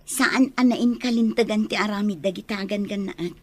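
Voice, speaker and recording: A woman's voice: mid-range voice, normal speed, lightly acted. A woman with a Filipino accent playing a concerned, protective adviser in a radio drama.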